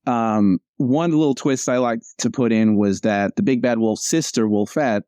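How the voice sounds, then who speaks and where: Male interview guest, casual and rambling, self-correcting, with a warm, relaxed tone and dry sound. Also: medium pitch, normal speed, smooth, no room sound. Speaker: comic strip cartoonist, interview guest.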